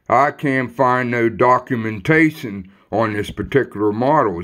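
A plain-spoken man gives a casual home demonstration. His voice is gravelly with plenty of fry, and he talks slowly and haltingly, like a hobbyist filming by hand. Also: medium pitch. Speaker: hobbyist radio collector. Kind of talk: casual handheld video demonstration. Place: room in a house. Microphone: handheld phone or camera behind the lens.

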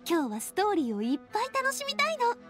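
High, lively, spirited young woman. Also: fast talker, exaggerated voice. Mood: reassuring, eager. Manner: expressive, breezy, encouraging. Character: spirited, confident, sociable young woman adventurer. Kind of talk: anime video game acting. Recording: music under the voice.

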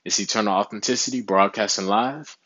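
A man's voice: fairly low pitch, brisk, sharp tone, dry recording, dull audio. A young man giving casual motivational health advice to camera in a small room, laid-back and often saying "you know what I'm saying". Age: young adult. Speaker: vlogger. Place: small indoor room with window behind.

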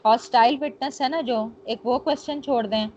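Pakistani lady teacher doing an online lecture, highish voice, muffled with some background noise. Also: fairly quick, a little mellow. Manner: mixes Urdu explanation with English legal terms. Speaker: law teacher. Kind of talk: online lecture, reading notes aloud and explaining. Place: online screen-share class.

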